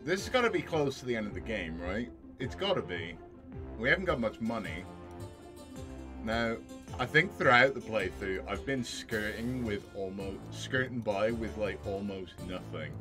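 A man's voice: lowish voice, normal speed, music in the background. A man in his thirties with a sore throat, chatting casually and thinking aloud as he plays a game in a small home room. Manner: relaxed, rambling, thinking aloud. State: sore throat, voice lower than normal. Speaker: streamer. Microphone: headset with desk mic.

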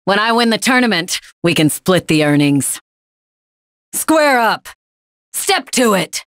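A woman's voice: mid-range voice, sing-song, slow pace, fairly bright tone, no room sound, studio quality, full character voice. A woman playing a tough, proud young female fighter in a video game, blunt and commanding. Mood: confident, stern. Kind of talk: English game character voice lines.

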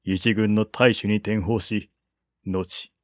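A man voicing a calm, shrewd strategist counselling his lord in a novel reading, polite and deliberate. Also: fairly low pitch, no room sound, clean studio sound. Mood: calm, cautioning.

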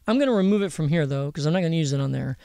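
A man giving a casual software tutorial, explaining informally and directly to viewers. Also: a little high, animated, racing, vocal fry, smooth, dry recording, studio quality. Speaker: audio mixing instructor. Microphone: close voice-over mic over screen recording.

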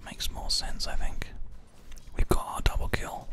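A video game host giving whispered ASMR play-by-play, thinking aloud in an unhurried, hushed way close to a binaural microphone. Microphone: binaural microphone, close to the ear. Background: computer keyboard typing and mouse clicks.

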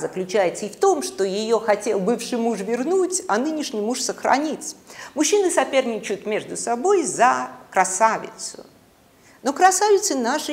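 Female lecturer, middle-aged. Theatrical storyteller, highly animated intonation, gravelly creak, deliberate pauses. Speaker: university lecturer. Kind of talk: dramatic lecture on literature and mythology. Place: classroom, indoors.

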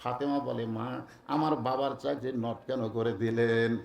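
Man, sermon orator: theatrical, half-chanted delivery with hushed drops and big cries, creaky voice, heavy echo. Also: mid-range voice, fairly even intonation, measured pace. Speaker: Islamic preacher. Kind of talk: Bengali waz sermon, dramatic storytelling with chanted phrases. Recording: echoey sound system.

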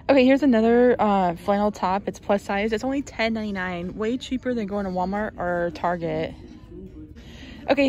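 American woman, shopping vlogger, casual narration, chatty and informal, medium pitch, background music. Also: darkish tone.

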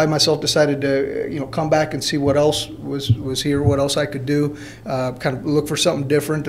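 A man in his thirties from Mexico and Illinois, telling his personal story at a podium in a halting, plain-spoken, informal way. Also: fairly high pitch, slight background noise. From born in Mexico, grew up in Peoria, Illinois. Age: 30s. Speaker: college alumnus guest speaker. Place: indoor college meeting room. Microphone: podium gooseneck microphone.

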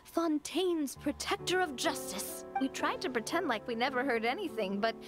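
A sociable young noblewoman from a video game, talks in a high, friendly voice. She is warm, chatty and teasing, with clear music behind her. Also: animated, moderate pace. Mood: fond, playful.

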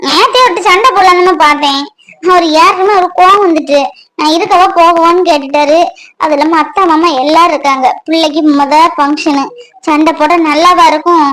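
A woman's voice: extremely high pitch, brisk, fairly bright tone, rough recording. An actress in her twenties playing a young mother who complains with irritation in a family drama. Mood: complaining. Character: annoyed young mother, new baby. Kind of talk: web serial drama acting.

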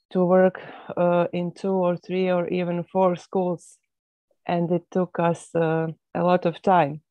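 A Croatian woman speaks on a video call in a low, flat, slow voice, stopping often for fillers. The audio is thin and phone-like, with a dry, close sound. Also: dry recording. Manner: halting, many pauses and fillers. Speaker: webinar guest speaker.

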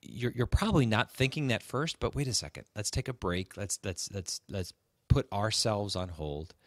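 A man with a low voice shares relationship advice as a podcast co-host. His delivery is conversational and halting, with words repeated for emphasis. The recording is dry and close. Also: no echo.

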